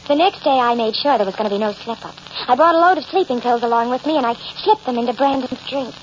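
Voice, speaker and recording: An actress playing a coy, cheerful murderess in old radio drama, chatty and mock-innocent. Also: female voice, a little high, fast talker, natural voice. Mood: confiding, smug. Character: cheerful, coy murderess narrating her crimes, primly self-justifying. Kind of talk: 1940s-50s radio drama acting. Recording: dull old radio broadcast, noisy.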